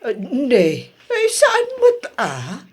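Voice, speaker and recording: A woman acts out a flustered, coquettish older mother from a Filipino village in a radio drama. Her high voice swings widely as she exclaims and stammers in a Filipino accent, stretching words out slowly. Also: wildly swooping pitch, breathy edge, exaggerated voice. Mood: embarrassed. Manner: exclamatory, stammering, drawn-out delivery. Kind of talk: Ilocano radio drama acting.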